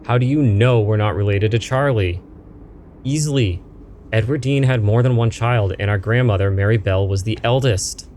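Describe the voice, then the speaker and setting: A man's voice: lowish voice, moderate pace, a little mellow, a little hiss or hum, close to an everyday voice. A man voicing an irritable, sarcastic young woman in a game, snappy and exasperated. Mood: irritated.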